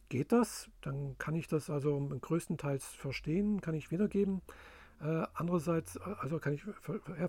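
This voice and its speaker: A man's voice: fairly high pitch, warm tone, no echo. A man talks in a casual, rambling monologue, full of fillers and restarts. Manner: rambling, halting, many fillers. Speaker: language learner.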